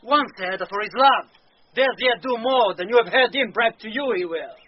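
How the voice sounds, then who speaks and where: An actor playing a bold, fiercely loyal sea captain in radio Shakespeare, forceful and declamatory. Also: male voice, extremely high pitch, normal speed. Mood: defiant. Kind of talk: radio Shakespeare drama acting. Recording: muffled radio recording, faint noise.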